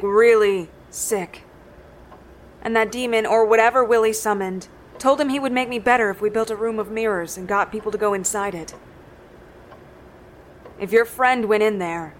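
An actress playing a blunt, weary, bitter middle-aged countrywoman in an audio drama, speaking gruffly and matter-of-factly. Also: medium pitch, slight background noise, close to an everyday voice. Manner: blunt, matter-of-fact, swearing.

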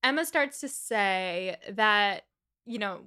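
Bright chatty woman retelling a story. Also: medium pitch, animated, slow pace, clear, resonant tone. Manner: chatty, retells scenes with lots of "like" and quoted asides. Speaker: podcast co-host. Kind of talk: casual chat retelling a novel's plot.

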